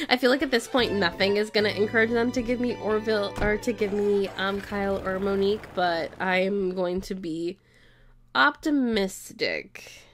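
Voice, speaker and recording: A woman streaming a game, chatting casually, playfully dramatic and pleading, her voice going hoarse. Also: mid-range voice, moderate pace. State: losing her voice. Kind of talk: casual gameplay commentary. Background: game music.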